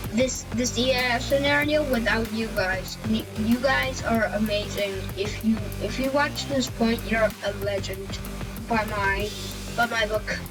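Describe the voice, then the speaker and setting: High-voiced, slow-talking woman over music. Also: poor audio. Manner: earnest, halting with repeated words. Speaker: video creator. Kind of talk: informal thank-you address to viewers.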